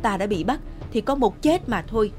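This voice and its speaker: A woman's voice: mid-range voice, expressive, normal speed, a little mellow, faint music behind, close to an everyday voice. A woman voicing a proud, stern and loyal male general in a historical cartoon. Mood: defiant. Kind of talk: cartoon history drama acting.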